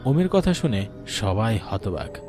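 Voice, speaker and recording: Low-voiced man narrating a suspense story. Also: very animated, unhurried, music in the background. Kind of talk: dramatic scripted story narration.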